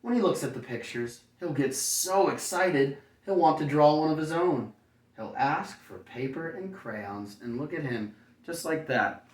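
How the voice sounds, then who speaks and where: A man's voice: a little high, lively intonation, a little slow. Echoey, playful man reading to kids. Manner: playful, folksy, chatty commentary. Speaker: kindergarten teacher reading a picture book aloud. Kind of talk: children's read-aloud with casual asides. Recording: roomy echo, distant microphone.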